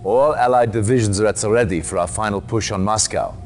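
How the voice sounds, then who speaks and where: An actor playing a stern, resolute military general in a game cutscene, giving orders with formal, clipped authority. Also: male voice, lowish voice, fairly quick, slight background noise, lightly acted. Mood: grave, resolute. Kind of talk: dramatic video game cutscene acting. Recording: long hall-like reverb.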